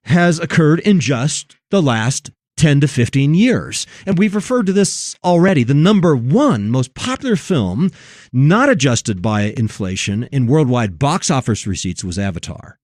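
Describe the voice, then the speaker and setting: Male talk host, mid-pitched with big melodic swings, preachy and emphatic, recorded dry and close. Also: very animated, unhurried, a little mellow, no echo. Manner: emphatic, preachy, repeats phrases for effect. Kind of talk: religious commentary on film and culture.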